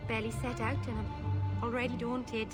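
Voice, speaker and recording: A woman playing a shy, gentle young elf mage in a video game, earnest and hesitant. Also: on the high side, flat delivery, moderate pace, lightly acted. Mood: daunted. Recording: loud music behind.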